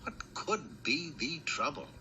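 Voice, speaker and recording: A man's voice: a little high, lively intonation, slow, sharp tone, full character voice. A man playing a wry, mock-dramatic narrator of a cartoon fairy tale, scripted and theatrical. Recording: noisy old cartoon soundtrack.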